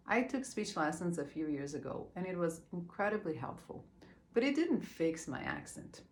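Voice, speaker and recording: Brazilian-accented woman, lowish voice. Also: a little hiss or hum. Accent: Brazilian Portuguese. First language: Portuguese. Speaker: speaker. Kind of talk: prepared talk.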